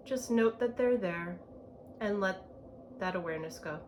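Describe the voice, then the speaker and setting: A woman leading a guided meditation in a gentle, unhurried manner, with spacious phrasing and long pauses. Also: mid-range voice, muffled recording. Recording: clear background ambient noise.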